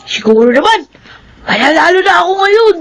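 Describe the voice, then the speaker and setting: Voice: very high voice, very animated, normal speed, gravelly, exaggerated voice. An actor in a Filipino radio drama playing a defiant, whiny schoolboy who argues and pleads. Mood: brash, sulky. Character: whining, defiant schoolboy son who skips class.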